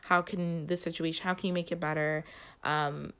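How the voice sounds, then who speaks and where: A woman's voice: low voice, level tone, fairly bright tone, clean tone. A woman hosting a tarot reading for her viewers, sounding nasal from allergies and often stumbling as she searches for words. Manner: halting, searching for words, many "like" fillers. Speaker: tarot reader and channel host.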